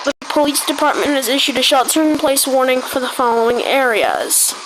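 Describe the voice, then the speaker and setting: A woman speaking in an amateur, haltingly delivered way, often repeating words, as a homemade video narrator. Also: high voice, expressive. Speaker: video creator narrating a made-up emergency alert scenario. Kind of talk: amateur emergency alert read-out mixed with casual gameplay chat. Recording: clearly noisy home recording with clicks.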